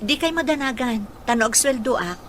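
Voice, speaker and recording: A woman's voice: on the high side, expressive, normal speed, fairly bright tone, a little hiss or hum. A woman playing a humble, struggling single mother in a radio drama, polite and earnest. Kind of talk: Philippine radio drama acting.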